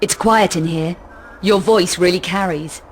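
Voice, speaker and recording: A woman's voice: on the low side, a little slow, a little hiss or hum, lightly acted. Cool, sardonic adventuress played by a woman. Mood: cool, menacing. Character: cool, threatening young female adventurer, sardonic and self-assured. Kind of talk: video game cutscene voice acting.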